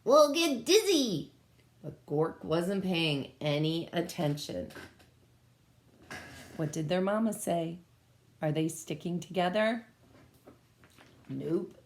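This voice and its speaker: A woman reading a picture book aloud as a teacher, pausing to explain and put questions to young children. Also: sits low, a little mellow, a little hiss or hum. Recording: echoey room sound.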